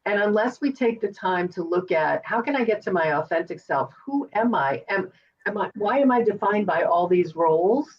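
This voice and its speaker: A woman's voice: medium pitch, lively intonation, moderate pace, husky. Lo-fi distant woman host. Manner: warm, effusive thanks, encouraging. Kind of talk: interview show hosting. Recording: distant, dull lo-fi sound.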